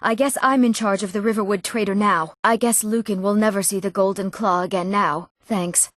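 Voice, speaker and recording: A woman's voice: medium pitch, fairly even intonation, moderate pace, no echo, lightly acted. Young female fantasy RPG character, played by an actress: earnest townswoman or noble, middle register, clean dry studio sound. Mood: subdued, grieving. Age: young adult. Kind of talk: scripted fantasy game character dialogue.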